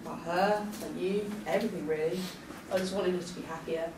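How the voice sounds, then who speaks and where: A woman's voice: lowish voice, steady tone, fairly quick, natural voice. A woman reading the part of a caring, teasing young friend at a drama table read, recorded at a distance in an echoey, noisy room. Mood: earnest.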